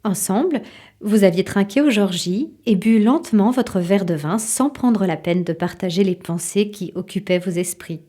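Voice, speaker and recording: A woman's voice: medium pitch, normal speed, dark tone, close to an everyday voice. A woman playing an impatient wife and mother, narrating a scripted audio drama in the second person. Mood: eager.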